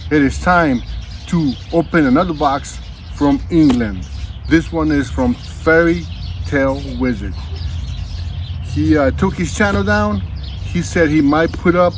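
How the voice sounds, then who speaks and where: A man's voice: on the high side, slight background noise, poor audio. A man hosting a casual unboxing vlog, chatting to viewers in a folksy, slangy, laid-back way. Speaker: vlog host.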